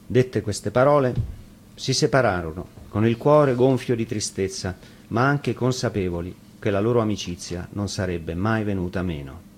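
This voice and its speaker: A man's voice: on the low side, normal speed. A man reading a fairy tale aloud as a storyteller, in scripted narration.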